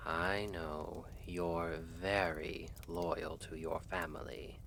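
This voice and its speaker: Man acting as a slow, low-voiced lovestruck assassin. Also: a little hiss or hum, exaggerated voice. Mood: gently persuasive. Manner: soft, breathy, often half-whispered, measured and formal. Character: lovestruck male assassin, brooding, earnest, devoted. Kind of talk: ASMR roleplay, intimate dramatic monologue.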